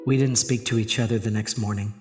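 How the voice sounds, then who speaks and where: A man's voice: fairly low pitch, fairly even intonation, fairly quick, faint music behind, lightly acted. A man playing an anxious, guilt-ridden suburban husband in a horror audio drama. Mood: haunted, regretful. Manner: confessional narration breaking into frantic outbursts. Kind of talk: horror audio drama acting with first-person narration.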